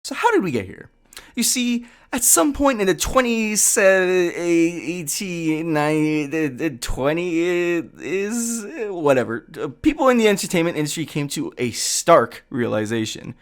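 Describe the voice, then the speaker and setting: A man's voice, a little higher than most, with a very lively range. He delivers comedic scripted commentary like a video essayist, mixing in casual asides. His manner is sarcastic and exasperated, with some swearing. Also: measured pace.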